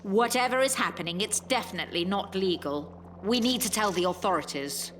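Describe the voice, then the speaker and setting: Female actor as elderly care home resident, headstrong conspiracy believer, mid-pitched, audio drama, noisy recording. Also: expressive, fairly quick, fairly bright tone, natural voice. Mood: appalled.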